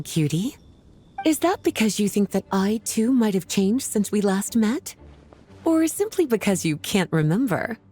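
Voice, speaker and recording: A woman's voice: medium pitch, darkish tone. A woman voicing a worldly, self-assured and playful lady in a video game, articulate, teasing and affectionate. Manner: teasing, flirtatious, articulate, savoring her words. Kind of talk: scripted video game dialogue.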